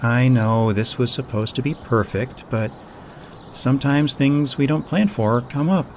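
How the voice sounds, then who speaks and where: A man acting as a gentle, reassuring young boyfriend in an intimate audio roleplay, speaking in a soothing, affectionate way. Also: medium pitch, measured pace, a little hiss or hum, lightly acted.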